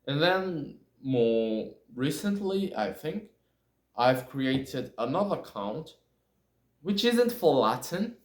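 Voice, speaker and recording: A man from Brazil with a Brazilian accent, a native Portuguese speaker, talking casually in English and often halting to correct himself. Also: fairly high pitch, big pitch swings. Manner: halting, self-correcting, searching for words. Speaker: video creator. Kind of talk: casual talk to camera about language learning. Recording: echoey room.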